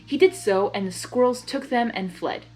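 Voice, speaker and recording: Female amateur story reader, higher-pitched, expressive narration with informal reactions, faint music underneath. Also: moderate pace. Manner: expressive, giggly asides. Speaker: fan fiction reader.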